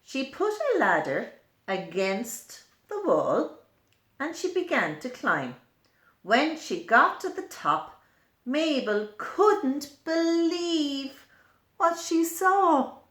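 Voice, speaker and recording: Female children's storyteller, unhurried and animated, explanatory asides, roomy sound. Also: sits high. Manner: slow, explanatory, pointing things out to young listeners. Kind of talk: expressive children's picture-book read-aloud with asides. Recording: echoey room.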